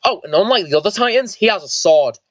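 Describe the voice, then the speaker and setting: A man's voice: high-pitched, expressive, fast talker, a bit bright, dry recording, dull audio, pitched above the performer's own voice. A man voicing an eager, vengeful squad leader and mech pilot in an amateur sci-fi story, informal and explanatory. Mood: proud, explaining.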